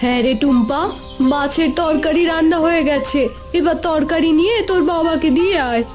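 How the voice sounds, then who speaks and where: A woman's voice: very high voice, a little fast, music in the background. A woman playing a concerned village mother of a young girl in a cartoon. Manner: explaining, concerned. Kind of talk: cartoon voice acting.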